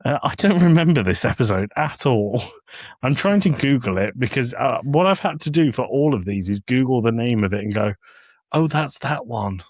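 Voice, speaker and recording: A man's voice: mid-range voice, lively intonation, normal speed, a little mellow, dry recording. Guy on a podcast, jokey and casual, voice moves around a lot, really dry sound. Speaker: podcast co-host. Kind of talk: casual chat and reading episode summaries aloud.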